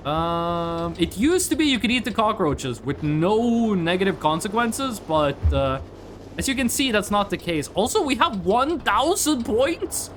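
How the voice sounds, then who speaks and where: Lively high-voiced man chatting. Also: measured pace, a little hiss or hum. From northern Norway. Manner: rambling, restarts sentences, reads chat aloud. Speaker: streamer. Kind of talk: casual live-stream chat while gaming.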